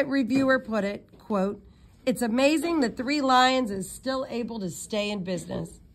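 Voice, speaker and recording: A woman reading a children's novel aloud in an expressive storytelling manner, now and then stumbling and re-reading words. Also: a little high. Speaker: read-aloud narrator.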